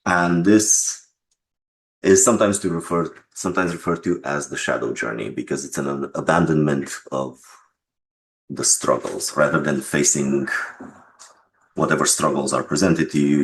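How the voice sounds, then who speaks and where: A man explaining his creative choices in an interview, measured in manner, on a noisy mic with clicks. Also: rumbling low, creaky. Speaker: game developer being interviewed.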